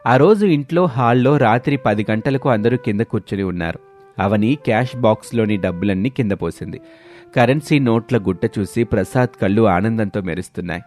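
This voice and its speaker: A man's voice: lowish voice, a little fast, darkish tone, faint music behind. A man narrating a scripted moral story as a storyteller.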